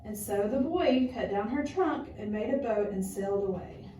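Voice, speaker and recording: A woman's voice: medium pitch, animated, moderate pace, mellow. A young woman student teacher reading a storybook aloud in a classroom lesson. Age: young adult. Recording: roomy, distant mic with faint noise.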